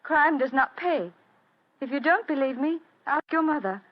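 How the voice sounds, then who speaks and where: A high-voiced, sweetly melodramatic young lady. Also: animated, moderate pace. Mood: surprised. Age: young adult. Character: Miss Norton, audacious young lady feigning sweet contrition. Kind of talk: old-time radio drama acting. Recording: dull vintage radio drama recording.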